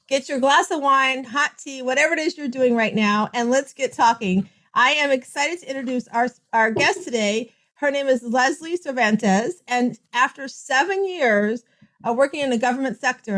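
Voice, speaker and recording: A woman's voice: fairly high pitch, fairly bright tone. A woman hosting a podcast interview, upbeat and effusive as she welcomes her guest. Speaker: podcast host.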